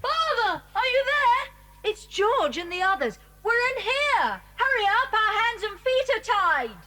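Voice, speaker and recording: A woman with a British accent playing a plucky, excitable schoolboy in a children's audio drama. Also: very high-pitched, measured pace, full character voice. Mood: excited.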